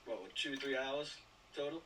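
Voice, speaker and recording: A man's voice: medium pitch, unhurried, a bit bright. An American man chatting casually and agreeably on a video call, often chiming in with short affirmations. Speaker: actor in a production meeting. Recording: distant, slightly noisy video call.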